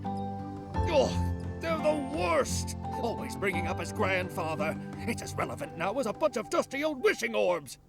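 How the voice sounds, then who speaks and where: An actor voicing an excitable, scheming, bookish sprite uncle in a video game, with a dramatic, indignant manner. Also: medium pitch, a little slow, vocal fry, loud music behind the voice. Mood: indignant outrage. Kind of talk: theatrical video game voice acting.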